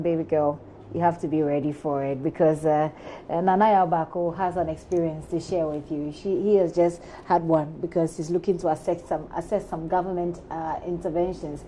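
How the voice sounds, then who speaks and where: Female Ghanaian news host, slightly low and warm-toned, chatty interview delivery over light noise. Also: steady tone, moderate pace. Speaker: TV news presenter interviewing guest. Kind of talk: conversational studio interview.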